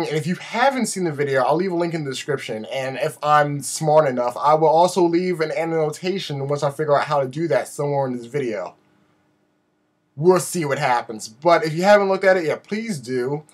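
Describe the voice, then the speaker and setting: Male vlogger, fairly high voice with a slight sore-throat rasp; animated, rambling, self-deprecating, casual delivery to camera. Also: normal speed. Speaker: video blogger. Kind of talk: casual update vlog to camera.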